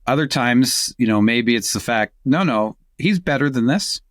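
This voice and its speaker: A man's voice: medium pitch, lively intonation, normal speed, no room sound, clean studio sound. A man giving reflective, conversational advice on a podcast, often repeating words as he builds a point. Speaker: podcast panelist, church leadership coach.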